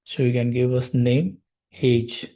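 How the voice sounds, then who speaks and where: A young man, slowly explaining code step by step as he types in a tutorial. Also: medium pitch, fairly even intonation, gravelly, warm tone. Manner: slow, step-by-step explaining while typing code. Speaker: programming tutor. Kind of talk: screen-recorded coding tutorial.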